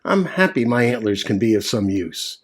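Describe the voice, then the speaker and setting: A man doing a gentle, big-hearted moose. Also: mid-range voice, sing-song, moderate pace. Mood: kind. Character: kind, patient big male moose.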